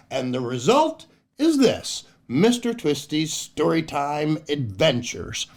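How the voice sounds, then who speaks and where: An older man hosting a children's storytime show, telling jokes and reading aloud in a playful, theatrical way. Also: a little high. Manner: playful, exaggerated, theatrical delivery. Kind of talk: animated kids' show hosting, joke telling and read-aloud.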